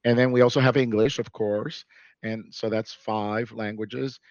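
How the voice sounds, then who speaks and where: A man born in New York City, a guest in a casual interview who talks in an animated way, laughs often and restarts his sentences. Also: on the low side, unhurried, dry recording, highs cut off.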